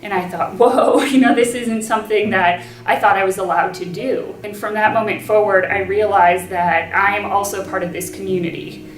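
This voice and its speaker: A woman's voice: mid-range voice, steady tone, moderate pace. Earnest young woman, roomy distant sound. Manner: earnest, reflective, with many ums. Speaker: graduate student. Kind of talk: personal testimony. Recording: roomy, from off-mic, soft music.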